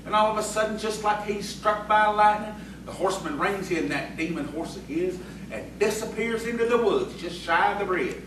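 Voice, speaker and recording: A man in an audio theatre play as an excitable, folksy rustic tavern regular who tells of ghostly sightings emphatically. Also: high voice, normal speed. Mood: awed, ominous. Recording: very echoey, distant, noisy stage recording.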